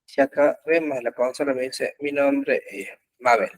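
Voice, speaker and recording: A man teaching an online web development class, explaining code slowly with frequent pauses and fillers. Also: medium pitch, steady tone, dry recording. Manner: slow, halting explanation with many pauses and fillers. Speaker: programming instructor. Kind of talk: live online coding class. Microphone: computer mic in an online class.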